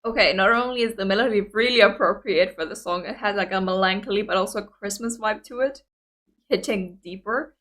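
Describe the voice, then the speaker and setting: A young woman whose first language is Greek, hosting a reaction video in an excitable, chatty way full of fillers. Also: mid-range voice, fairly quick. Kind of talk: casual reaction chat.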